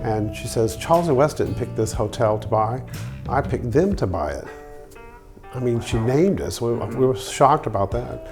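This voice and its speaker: A man being interviewed as an inn owner, telling stories in a halting way with pauses mid-sentence. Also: medium pitch, animated, over music. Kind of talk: interview storytelling.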